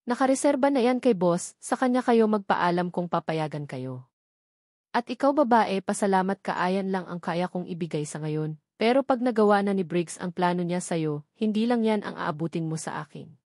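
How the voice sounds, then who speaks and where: A woman's voice: fairly low pitch, lively intonation, normal speed, darkish tone, no room sound, clean studio sound. A woman voicing a cruel, jealous female kidnapper in a story reading, taunting and menacing. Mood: threatening.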